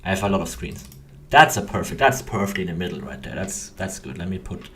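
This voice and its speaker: Low-voiced young Austrian man, rambling casually. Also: big pitch swings, quick pace, sharp tone, slight background noise. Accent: Austrian German. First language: German. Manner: hesitant, self-interrupting, rambling. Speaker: game developer hosting a livestream. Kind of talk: casual rambling livestream chat. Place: indoors at a computer desk.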